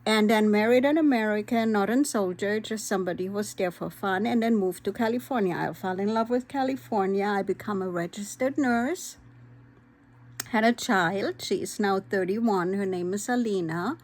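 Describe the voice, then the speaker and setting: A woman's voice: medium pitch, darkish tone. A woman speaks candidly to her channel in a mid-range voice. She talks in an earnest, unpolished way, stopping often on "um" as she gathers her thoughts. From Vojvodina, former Yugoslavia; raised in Germany. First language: Hungarian. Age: 50s. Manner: earnest, candid, frequent "um" pauses. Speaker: vlogger. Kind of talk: personal vlog talk.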